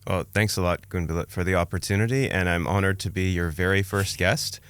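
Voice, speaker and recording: A man's voice: deep voice, fairly even intonation, a little fast, a bit bright, clean tone, dry recording, studio quality. A man, telling his own story conversationally as a podcast guest. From Canada, born in Romania.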